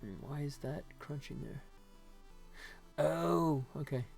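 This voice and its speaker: A man's voice: on the high side, takes it slowly, creaky, darkish tone. An American man hosting a casual livestream as he works, rambling and thinking aloud. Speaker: livestream host, game developer. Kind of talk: casual livestream chat while working. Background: music.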